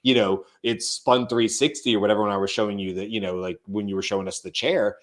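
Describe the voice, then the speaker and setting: A man with a fairly low voice co-hosts a live talk show, chatting loosely with plenty of fillers. His voice is going hoarse. He sounds like a desk mic on a remote call. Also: quick pace. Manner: relaxed, rambling, frequent fillers. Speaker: co-host. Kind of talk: casual live show chat.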